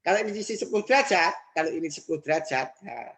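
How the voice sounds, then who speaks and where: A male high school math teacher working through exam problems step by step. He asks and answers his own questions, repeats each step, and drops into quiet muttered asides. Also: lively intonation, racing.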